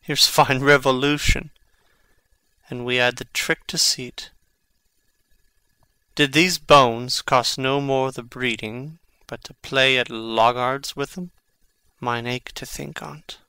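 Young tragic prince acted by a man, Shakespeare audio drama, wry and theatrical, bright forward tone, dry recording. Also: mid-range voice, steady tone, takes it slowly, long pauses, clean tone, dry recording. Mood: musing, morbid. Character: brooding, sardonic young Danish prince.

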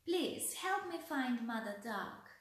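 A woman voicing a timid little lost female duckling in a storybook reading, with a high, plaintive, childlike voice. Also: animated, slow pace. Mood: worried. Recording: very echoey room.

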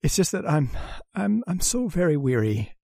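A man's voice: fairly high pitch, sing-song, moderate pace, vocal fry, dry recording, clean studio sound, exaggerated voice. A man playing a stammering, secretive lodger. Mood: weary. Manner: hesitant and stammering, soft-spoken. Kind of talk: radio drama acting.

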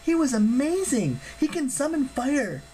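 Spirited teen girl, acted by a man, high pitch, bossy, earnest, brisk, audiobook adventure, background noise. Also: very animated, mellow, heavily acted, rough recording. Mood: admiring. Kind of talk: audiobook character acting.

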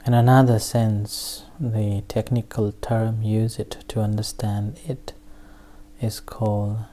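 Very slow, mellow-voiced meditation teacher. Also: medium pitch, flat delivery. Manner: halting, with long pauses between short phrases. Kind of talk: guided meditation, slow spoken instruction.

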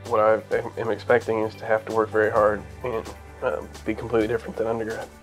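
A man's voice: on the low side, monotone, fast, soft background music, poor audio. A man in his twenties, an incoming law student, speaking plainly in an interview. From Oklahoma. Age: 20s. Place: indoor room.

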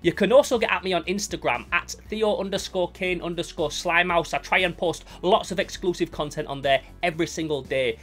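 A man's voice: high-pitched, a little fast, hardly any pauses, fairly bright tone. A young adult man narrating and reviewing a horror comic as a YouTuber, casual and enthusiastic in delivery. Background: background music.

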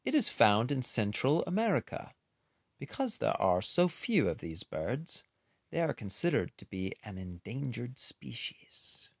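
Male children's book reader, slow pace, animated mid-pitched delivery, close dry sound. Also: creaky, slightly rough, no room sound. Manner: expressive, unhurried, with playful asides. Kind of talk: children's book read-aloud with casual asides.

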